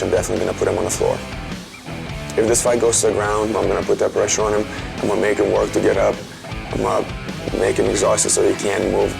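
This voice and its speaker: A man's voice: lowish voice, creaky. A man in his twenties from Lithuania, a fighter speaking in a promo interview, plain and determined. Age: 20s. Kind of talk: interview soundbites in a promo package. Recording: music bed under the voice.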